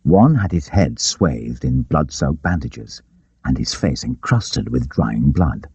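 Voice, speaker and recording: A man narrating an adventure audiobook in an expressive, theatrical storytelling style. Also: very deep voice, slow pace, breathy edge, no echo. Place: dry studio. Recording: dull, lo-fi tape audiobook.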